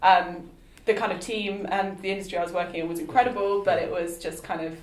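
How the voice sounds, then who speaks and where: A woman's voice: fairly low pitch, steady tone, fast, echoey room, rough recording. A young woman from the English Midlands, a guest entrepreneur chatting casually with many ums and laughs. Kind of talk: casual conversation. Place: meeting room at a round table.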